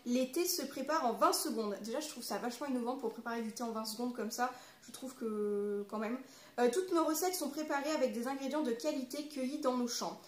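A woman's voice: a little high, fairly quick. A woman in her twenties with a French accent from France, chatting informally and reading aloud as a vlogger. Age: 20s. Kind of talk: casual chat with reading aloud. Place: small living room at home. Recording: roomy echo, clear background noise.

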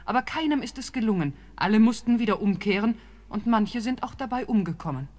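An actress playing a calm, wise helper in a radio play, speaking in an earnest, warning way. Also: female voice, on the high side, fairly quick, close to an everyday voice.

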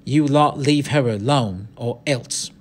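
Man as Omri, an earnest brave schoolboy, raised pitch. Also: expressive, unhurried. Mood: defiant, scared.

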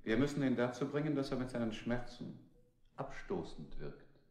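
A cruel, taunting man played by an actor in a radio play interrogation gang. His mid-pitched voice moves animatedly up and down, and the recording is echoey. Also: very animated, normal speed, darkish tone, natural voice. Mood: callous, taunting. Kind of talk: avant-garde radio play.

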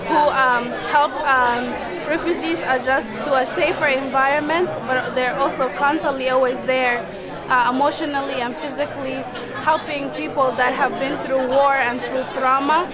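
A woman's voice: sits high, fairly even intonation, moderate pace. A young woman from Sudan, an audience member, speaking articulately and gratefully in an interview. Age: young adult. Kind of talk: interview statement. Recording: loud crowd chatter behind.

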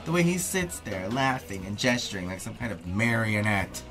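A lovelorn, dramatic dancer in an audio play. The voice is low for a woman and swings widely in pitch, wry and theatrical, with music underneath. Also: very animated, normal speed, a bit bright, exaggerated voice. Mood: wounded, bitter. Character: dramatic, lovelorn, weight-obsessed dancer nursing heartbreak.

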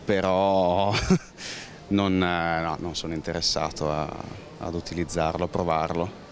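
A man's voice: deep voice, flat delivery, a little slow, a little hiss or hum. A man giving blunt, dismissive opinions to a street interviewer, outdoors into a handheld mic. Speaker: passerby interviewed. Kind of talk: casual opinion. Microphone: handheld microphone.

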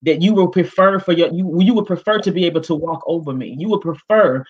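A woman panelist with a big, expressive voice, speaking emphatically and directly on a video call, often restarting with fillers. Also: fairly low pitch, fairly quick, slightly rough. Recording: dull lo-fi video call audio.

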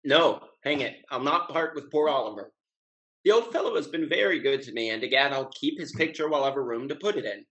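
An actor playing a carefree, impulsive young gentleman in a period comedy, with exuberant, declamatory delivery over a video call. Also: mid-range voice, animated, brisk, rough recording. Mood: stubborn. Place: indoors at home, video call.

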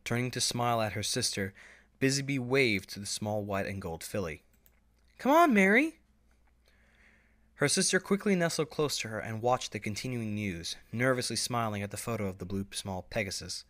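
A man's voice: fairly low pitch, expressive, brisk, fairly bright tone. A man reading scripted story narration as the narrator.

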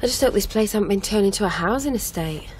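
A woman's voice: medium pitch, lively intonation, quick pace, darkish tone, soft background music, natural voice, low-quality recording. A woman in a radio drama playing a teasing, sympathetic hitch-hiker and con artist, blunt and coaxing. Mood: breezy, frank. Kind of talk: naturalistic radio drama acting.

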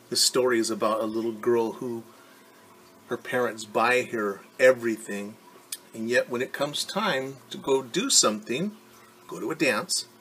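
A man's voice: mid-range voice, fairly bright tone, slight background noise, rough recording. A middle-aged man reading a picture book to children as a teacher, stopping to explain things patiently in simple words. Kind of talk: storytime read-aloud with simple explanations for children. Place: living room at home.

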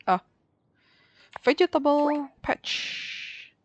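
A woman's voice: high voice, animated, drawn-out words, dull audio, a little hiss or hum. A woman giving casual gameplay commentary, thinking aloud in short reactions. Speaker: gaming video creator.

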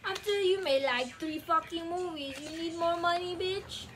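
A woman's voice: very high-pitched, moderate pace. A young woman ranting to her phone camera in a small bedroom, profane and confrontational, moving around as she talks. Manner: profane, confrontational, restless, moves around while talking. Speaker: vlogger. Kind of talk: angry profane rant to camera. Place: small cluttered bedroom, indoors. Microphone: phone camera, often far away. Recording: distant phone audio with clear background noise.

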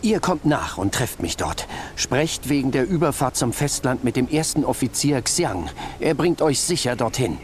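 A man's voice: medium pitch, big pitch swings, normal speed, a little hiss or hum, natural voice. A man playing a grave, authoritative mentor in a game cutscene, earnest and commanding. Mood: grave, urgent. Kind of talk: dramatic game cutscene acting. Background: game music.

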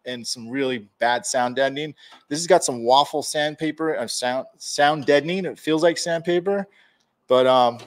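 A man in his forties in a garage workshop, casually explaining dent repair tools to a live audience. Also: mid-range voice. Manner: casual, hands-on, chatty with viewers. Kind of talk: live-stream tool demonstration.

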